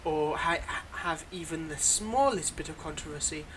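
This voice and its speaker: A man's voice: on the high side, normal speed, a bit bright, slight background noise, natural voice, low-quality recording. A man playing a weary, wry supervillain with a secretly kind side, talking conversationally and a little hesitantly in an intimate roleplay audio monologue. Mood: wry, earnest.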